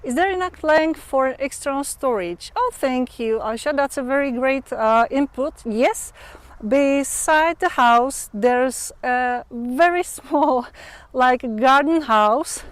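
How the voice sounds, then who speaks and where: A young adult woman with a Czech accent, a non-native English speaker hosting a casual livestream and often pausing to search for words. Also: sits high, no echo. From Czech Republic. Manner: hesitant, searching for English words with many fillers. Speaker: livestream host. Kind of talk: casual livestream chat with viewers.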